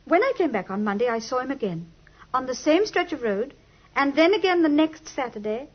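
High-voiced, genteel young Englishwoman. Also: very animated, brisk, slightly rough, full character voice. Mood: earnest, uneasy. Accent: British English. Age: young adult. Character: genteel, earnest young lady client telling her troubles. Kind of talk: 1950s radio drama acting. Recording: muffled, lo-fi 1950s radio recording.

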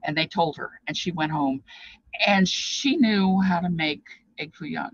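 A woman's voice: fairly low pitch, unhurried, breathy edge, no room sound. Older woman, informal explainer, rambling, reflective, reminiscing, lo-fi webcam sound. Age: older, past fifties. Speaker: presenter explaining feast dishes. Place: small cluttered craft room, indoors. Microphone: webcam at desk distance.

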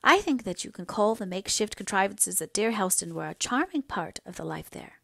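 A woman's voice: mid-range voice, sing-song, fairly quick, vocal fry, fairly bright tone, no echo, full character voice. Devoted, impulsive young daughter for storybook drama played by a female reader: heartfelt, imploring delivery, close dry sound. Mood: fond, reassuring. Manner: earnest, pleading, fervent. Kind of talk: dramatised audiobook dialogue.

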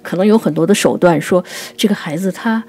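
A woman co-hosting a conversational talk on classical poetry, explaining things and reciting verse slowly. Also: lowish voice, darkish tone, breathy edge. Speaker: writer and co-host.

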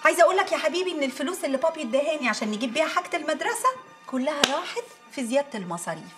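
A woman's voice: sits high, big pitch swings, rapid-fire, a bit bright, soft background music. A woman playing an exasperated, scheming Egyptian mother and wife in a TV comedy-drama, with a dramatic, complaining manner. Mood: lecturing. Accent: Egyptian Arabic. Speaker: actress. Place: furnished home interior, film set.

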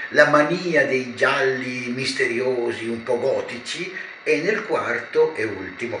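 An older man of around seventy, a theatre director explaining his work in a measured, erudite way with pauses. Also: lowish voice. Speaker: theatre director being interviewed. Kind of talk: reflective explanatory talk to camera. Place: small living room with shelves, indoors. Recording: roomy echo, clear background noise.

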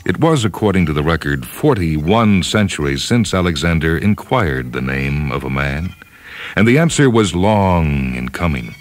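A man narrating a scripted story in a measured, solemn manner. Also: deep voice, big pitch swings. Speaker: narrator, reader. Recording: music behind.

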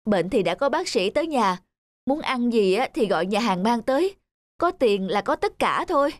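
A woman's voice: on the high side, big pitch swings, moderate pace, no room sound. A woman voicing a haughty, wealthy female fox in a cartoon, with a dismissive, boastful manner. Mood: smug. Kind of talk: cartoon dubbing.

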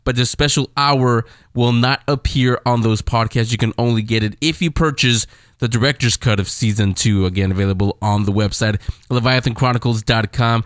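A man's voice: medium pitch, moderate pace, dry recording, clean studio sound. A man presenting a podcast casually as its host.

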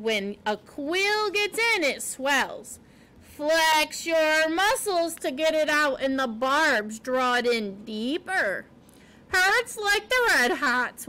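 A grouchy male porcupine from a children's storybook, acted out by a woman reading aloud. He is brash and blustering, with a high, sharp, bellowing delivery. His words come slowly and with heavy emphasis. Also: exaggerated voice.

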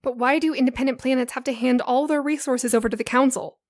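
A woman's voice: high-pitched, fairly even intonation, fast, a little mellow, dry recording, close to an everyday voice. A woman playing a serious, cautious young guard in a science fiction audio drama, with a dry, skeptical, sensible manner. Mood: serious, probing. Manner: dry, sensible, pointed questions.

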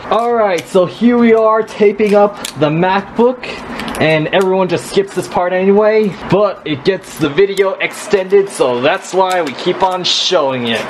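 Self-important video host parody, played by a sketch performer, mid-pitched, over noisy audio. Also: measured pace, close to an everyday voice. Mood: hyped, self-important. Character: hyped, vapid tech-destruction YouTuber.

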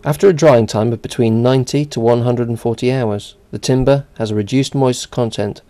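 A man reading a scripted narration for a company video in an even, measured way. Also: mid-range voice.

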